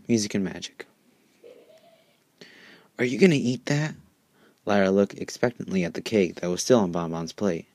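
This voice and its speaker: A man's voice: sits low, mellow, no echo. A man narrating a fan story in an informal amateur reading, sometimes stumbling over words.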